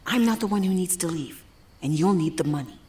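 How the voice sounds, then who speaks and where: A woman playing an anxious, protective spy in a wartime audio drama, with an earnest, urgent, hushed delivery. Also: fairly low pitch, animated, a little fast, slight background noise, close to an everyday voice. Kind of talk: dramatized audio story acting. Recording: roomy echo over background music.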